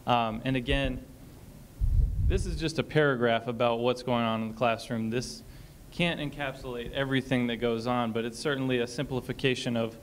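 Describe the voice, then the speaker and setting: A man's voice: medium pitch, fairly even intonation, moderate pace, a little hiss or hum. Man explaining on a panel stage. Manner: explanatory, frequent ums, reads a passage aloud. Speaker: college staff panelist. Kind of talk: panel discussion talk. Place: stage in a college auditorium.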